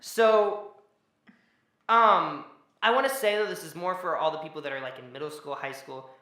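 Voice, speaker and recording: Young man with a high, bright voice. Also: normal speed. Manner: rambling, restarts sentences, trails off. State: tired from working all day. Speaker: podcast co-host. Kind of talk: casual podcast chat. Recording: echoey room.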